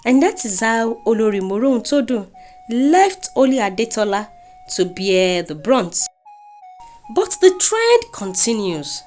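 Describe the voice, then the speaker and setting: Animated Nigerian woman storyteller, high voice. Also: unhurried. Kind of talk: dramatic scripted folktale narration. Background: music.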